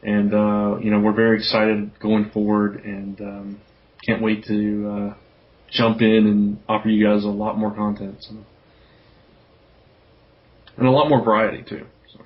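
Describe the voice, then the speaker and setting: A man with a lowish voice hosts a podcast in a loose, conversational way. He rambles informally through his points, and the audio is somewhat dull and lo-fi. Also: monotone, measured pace, pauses often. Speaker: podcast host. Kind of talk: casual podcast chat.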